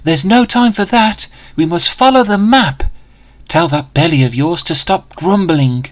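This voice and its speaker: A man's voice: on the high side, unhurried, dry recording, voice pushed up, natural voice. A man voicing an eager, brave young boy adventurer who exclaims his lines in a storybook reading. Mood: urgent.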